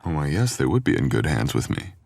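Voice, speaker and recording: Very deep-voiced, teasing boyfriend played by a man. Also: big pitch swings, fast talker, creaky, darkish tone, no echo, exaggerated voice. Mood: playful, teasing. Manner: playful, teasing, intimate. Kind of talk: boyfriend ASMR roleplay audio acting.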